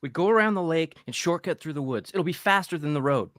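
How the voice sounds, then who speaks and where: A woman's voice: low voice, expressive, quick pace. A woman playing a grim, resolute survivor of a killer in a live table read of a horror script.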